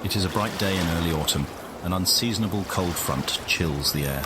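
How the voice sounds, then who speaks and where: A man playing a solemn nature documentary narrator in a game, with measured, articulate delivery. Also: low-pitched, heavy background noise, close to an everyday voice. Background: music.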